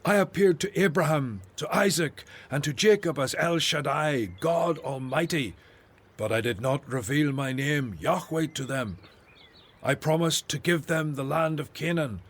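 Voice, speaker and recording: An actor playing an earnest, devout Hebrew prophet and leader in a radio Bible drama, with a solemn, declamatory manner. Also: male voice, a little high, unhurried, a bit bright. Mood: fervent, solemn.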